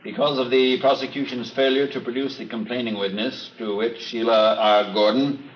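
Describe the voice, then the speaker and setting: An American actor playing a formal, stern judge giving a measured, official ruling in a 1950s radio drama. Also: male voice, medium pitch, fairly even intonation, close to an everyday voice. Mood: solemn, official. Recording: echoey, dull old radio recording, faint noise.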